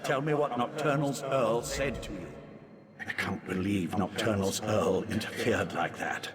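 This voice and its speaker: An actor playing a curious, easily peeved scholar of the occult in a video game, speaking in a measured, musing and expressive way. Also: male voice, on the low side, natural voice. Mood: puzzled, intrigued. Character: male scholarly occult researcher, curious, peeved, dryly polite. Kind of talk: video game character dialogue.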